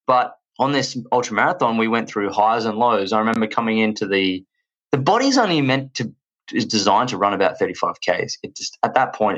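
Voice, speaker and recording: A man in his thirties with an Australian accent, a podcast guest telling stories in an energetic, rambling way. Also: lowish voice, dull audio. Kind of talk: casual chat.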